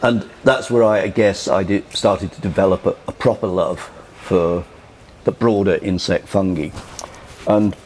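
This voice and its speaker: Older Liverpudlian man, very deep voice, loose conversational storytelling, faint room noise. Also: measured pace. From England. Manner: relaxed, rambling, frequent "you know" and restarts. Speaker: interviewee. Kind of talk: casual recollection.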